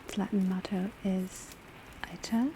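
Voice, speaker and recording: A woman playing a calm, studious witch academy professor, lecturing in a soft, breathy, mostly whispered ASMR style with measured, deliberate delivery. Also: medium pitch, background noise, close to an everyday voice. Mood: calm, instructive. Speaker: teacher lecturing a class. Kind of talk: ASMR classroom roleplay, soft-spoken lecture. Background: faint patter of rain on rooftop.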